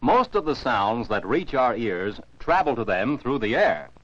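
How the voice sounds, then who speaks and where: A man's voice: mid-range voice, sing-song, unhurried, fairly bright tone, a little hiss or hum. An American man narrates scripted science for children as an educational film narrator, in a didactic, carefully enunciated way. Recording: dull, dry 1949 film soundtrack.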